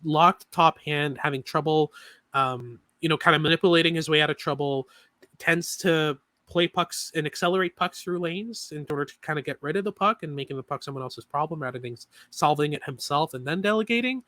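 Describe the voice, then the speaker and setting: A man co-hosting a sports podcast, talking through analysis in a quick, filler-heavy way with many restarts. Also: on the high side, pushed and bright, dry recording. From Canada. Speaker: podcast co-host.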